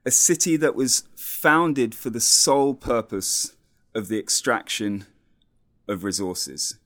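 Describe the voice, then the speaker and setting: A man calmly describing his city as a guest on a talk program, speaking in a measured, descriptive way. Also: lowish voice. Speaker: community radio guest. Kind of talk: conversational explanation.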